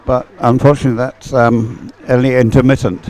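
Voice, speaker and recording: A man's voice: mid-range voice, flat delivery, a little fast, a little mellow, faint music behind, poor audio. A man giving unscripted live race commentary in an understated way, thinking aloud as he goes. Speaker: sports commentator.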